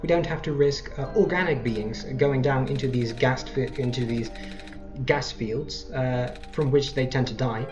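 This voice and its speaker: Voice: mid-range voice, a little slow, over music. A let's play host giving casual, rambling game commentary with self-deprecating asides.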